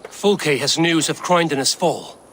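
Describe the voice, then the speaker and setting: An actor playing a bold, determined young Viking leader in a video game, resolute and formal in speech. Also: male voice, a little high, takes it slowly, a bit bright, slight background noise. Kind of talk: dramatic video game acting.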